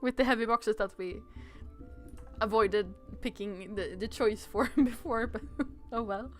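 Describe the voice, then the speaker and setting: A woman's voice: on the high side, unhurried, soft background music. A woman playing a game on a livestream, chatting casually and reading aloud in a playful, giggly manner.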